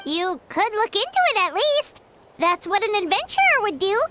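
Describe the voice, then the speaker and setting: A woman's voice: big pitch swings, moderate pace. A woman voicing a tiny floating female fairy companion who talks about herself in the third person, in a video game. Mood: chirpy. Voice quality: high squeaky voice, speaks of herself in third person. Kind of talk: game voice acting. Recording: gameplay audio with clear background noise.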